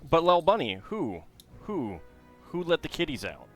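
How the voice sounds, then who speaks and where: A man's voice: a little high, huge pitch swings, measured pace, faint music behind. A man casually chatting to his viewers while building a Lego set, reading facts aloud and talking to chat.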